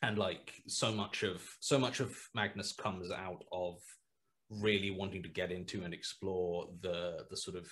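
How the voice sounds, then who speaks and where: A man's voice: deep voice, fairly even intonation, a little slow, a bit bright. A man in his thirties, the creator of a podcast, chatting on a video call through a headset, rambling and restarting his sentences. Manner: rambling, restarts often, trails off into asides. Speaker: podcast creator and writer as guest. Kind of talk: casual video-call interview. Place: small room at home, video call.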